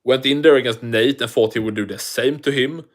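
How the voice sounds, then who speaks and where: Man, thick foreign accent, livestream host, loose rambling talk, lots of swearing, mid pitch. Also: brisk. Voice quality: thick non-native English accent. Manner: rambling, profane, restarts often. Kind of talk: casual livestream chat, answering viewer comments.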